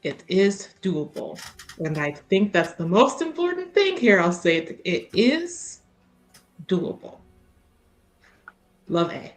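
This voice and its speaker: A woman playing a tabletop roleplaying game, chatting casually and improvising in character in a halting, easily distracted way. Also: mid-range voice, big pitch swings.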